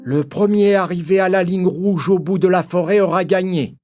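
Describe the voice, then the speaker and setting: A high-voiced cartoon mole, played by a man, announcing like a race official. Music plays clearly behind the voice. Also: normal speed, natural voice. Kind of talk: animated fable acting.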